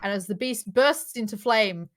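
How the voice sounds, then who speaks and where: A woman's voice: mid-range voice, normal speed. A woman reading out screenplay stage directions at a casual live table read, with occasional offhand asides.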